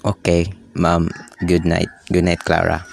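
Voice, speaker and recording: A man's voice: low-pitched, completely flat delivery, measured pace, gravelly, a little hiss or hum. A man playing a polite, apologetic young varsity athlete boyfriend in an amateur radio drama.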